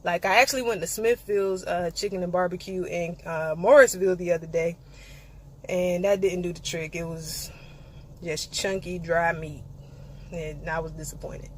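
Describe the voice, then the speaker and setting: A woman's voice: on the low side, steady tone, normal speed, a little mellow, slight background noise. A young woman, telling a playful, sassy story in a casual vlog. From eastern North Carolina. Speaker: vlogger.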